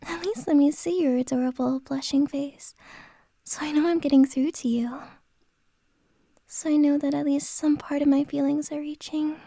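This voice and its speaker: A woman playing a flustered boss who confesses her feelings to an employee in an ASMR roleplay. She speaks in a soft, hesitant whisper, close to the mic. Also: high-pitched, fairly even intonation, moderate pace, gravelly, no echo. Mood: tender, flustered.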